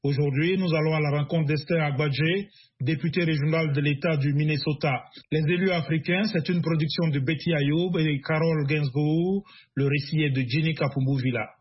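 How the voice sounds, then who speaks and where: A male radio news presenter reading scripted news in a measured, formal way. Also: on the high side, fairly even intonation. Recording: dull radio sound with music bed.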